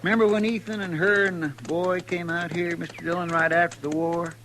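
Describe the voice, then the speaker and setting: A man's voice: sits high, level tone, a little fast, fairly bright tone, slight background noise. A man with a rural American accent playing a simple, earnest, deferential deputy in a 1950s radio western. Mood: wistful. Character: simple, folksy, deferential male marshal's deputy sidekick.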